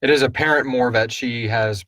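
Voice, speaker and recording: A man's voice: mid-range voice, moderate pace, fairly bright tone, no room sound. A man co-hosting a casual live question and answer chat, rambling loosely and searching for his words. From Houston, Texas area. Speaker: co-host and audio drama creator answering listener questions.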